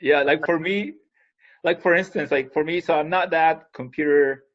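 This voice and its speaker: A man's voice: on the high side, muffled recording. A man from Peru in his thirties, hosting an interview in a chatty, rambling way. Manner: chatty, rambling, quick asides. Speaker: podcast host. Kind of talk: casual interview talk.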